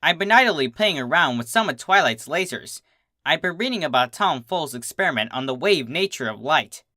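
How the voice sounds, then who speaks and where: A narrator giving an expressive first-person reading of a comic fan story. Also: mid-range voice, normal speed, no room sound, clean studio sound.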